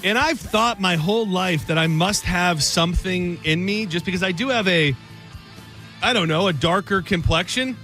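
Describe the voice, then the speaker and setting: A man's voice: high voice, normal speed, bright, forceful tone, soft background music. A man from Michigan hosting a radio show, telling a rambling, self-deprecating story in casual banter.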